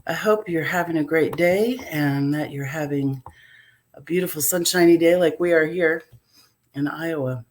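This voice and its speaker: A woman hosting a live-streamed interview, talking loosely with many fillers, her throat a little hoarse. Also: deep voice, normal speed, slight background noise. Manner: warm conversational, loose with fillers. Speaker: host. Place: home in Iowa.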